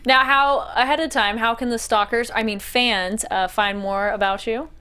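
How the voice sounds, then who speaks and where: A woman's voice: a little high, steady tone, normal speed, bright, forceful tone, smooth. Chatty woman interview host. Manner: friendly, chatty, laughs often, backchannels with mm-hmm. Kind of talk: casual interview questions.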